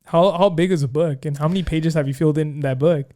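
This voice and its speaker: A man's voice: fairly high pitch, quick pace, mellow. A man co-hosting a podcast, chatting casually and rambling with lots of fillers. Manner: rambling, many "like" fillers, joking. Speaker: podcast co-host. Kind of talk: casual chat.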